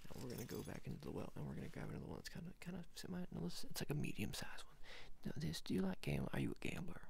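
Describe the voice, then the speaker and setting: A man playing a quirky, rambling ring salesman in a soft ASMR roleplay, murmuring and whispering, halting and repeating himself as he coaxes the customer. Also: on the high side, very animated, heavily acted. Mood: salesy, musing. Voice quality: whispery, breathy murmur with gravelly fry. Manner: halting, repeats and trails off playfully.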